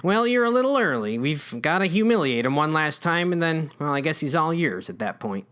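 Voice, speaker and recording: A man's voice: on the high side, sing-song, a little fast, fairly bright tone, clear, resonant tone. A man voicing a charming, callous father in a comic story, polite and dismissive. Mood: breezy.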